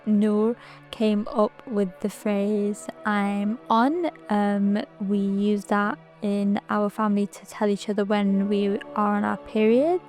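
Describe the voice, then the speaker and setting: A woman speaks at a very unhurried pace and hesitates with small ums. Her voice sits mid-range. Soft music plays faintly behind her. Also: medium pitch, steady tone. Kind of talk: personal testimony for a video.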